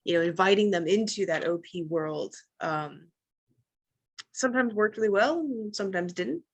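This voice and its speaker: A woman's voice: mid-range voice, normal speed, highs cut off. A woman who acts on stage, talking casually and reflectively in a panel conversation, sharing her experiences.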